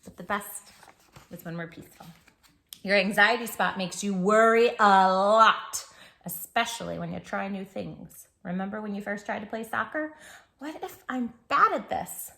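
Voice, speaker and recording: A woman's voice: medium pitch, fairly even intonation, normal speed, gravelly, fairly bright tone. A woman hosting a children's read-aloud, talking affectionately and expressively straight to the young listener. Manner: affectionate, addressing the child listener directly and intimately. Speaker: children's storytime host and read-aloud reader. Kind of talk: children's storytime talk and picture-book read-aloud.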